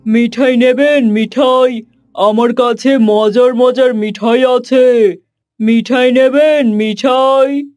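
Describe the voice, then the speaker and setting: A man playing a friendly street sweet seller in a cartoon, with kindly, drawn-out vendor speech. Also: extremely high pitch, steady tone, a little mellow, no room sound, clean studio sound, soft background music. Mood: hawking. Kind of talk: cartoon dialogue with singsong vendor calls.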